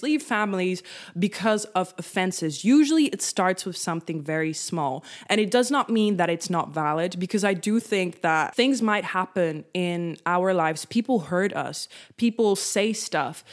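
A young woman with a Dutch accent talks English, her second language. Her devotional talk to camera is lively and chatty, and it keeps reaching out to the listener with little asides. Her pitch sits in a middle range for a woman. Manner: animated, acts out her own reactions with rising pitch; conversational, addresses viewers directly.

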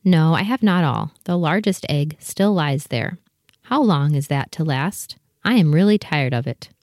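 A woman's voice: sits low, expressive, unhurried, darkish tone, dry recording. Woman playing a weary storybook mother duck, dry sound. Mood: impatient, weary. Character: weary, talkative mother duck hatching her eggs. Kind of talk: storybook full-cast dramatisation.

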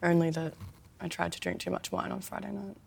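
A woman's voice: on the low side, flat delivery, brisk, vocal fry, slightly rough. A woman co-hosting a podcast, chatting casually with a chesty cough and a voice that is going, often trailing off hesitantly. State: chesty cough, losing her voice. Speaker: podcast co-host. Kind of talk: casual chat.

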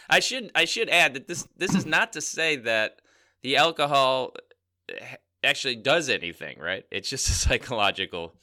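A man's voice: mid-range voice, normal speed. A man co-hosting a casual science podcast, explaining a study with frequent laughs and "right?" asides. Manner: lively explainer, laughs often, says "right?". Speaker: podcast co-host.

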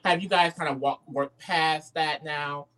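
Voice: mid-range voice, fairly even intonation, measured pace, a bit bright. An interviewer asking long, rambling questions in a casual, friendly way.

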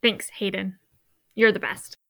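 An actress playing a blunt, cheeky but loyal teenage daughter in an audio drama, talking casually. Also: female voice, mid-range voice, level tone, a little slow, gravelly, fairly bright tone, no echo. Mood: playful.

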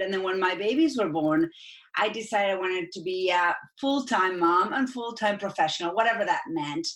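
Argentine lady coach chatting on a podcast, spanish accent, lots of "you know" and "like", mid pitch. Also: poor audio. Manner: fluent and animated, with fillers like "you know" and "like". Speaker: guest, executive coach. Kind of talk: podcast interview.

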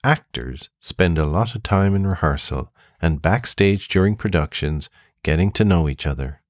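A man interviewing a guest, chatty and opinionated, with bursts of high laughter. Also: animated, moderate pace, reverberant.

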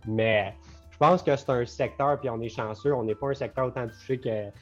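A man's voice: mid-range voice, moderate pace, soft background music. Chatty young Quebec man, dull audio. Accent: Quebec French. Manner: talkative, loose, sprinkled with English words. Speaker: business co-owner and guest. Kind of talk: casual podcast conversation. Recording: slightly dull lo-fi sound.

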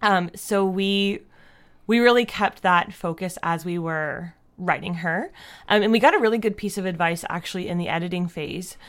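A woman answering interview questions as a guest in a casual, thoughtful and halting way, often saying "like". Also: fairly low pitch. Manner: thoughtful, halting, frequent "like" as a verbal habit. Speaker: podcast creator and writer, interview guest.

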